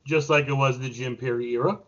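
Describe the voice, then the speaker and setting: A man's voice: medium pitch, steady tone, fairly quick. A man trading casual wisecracks as a panelist on a podcast. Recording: dull, lo-fi sound.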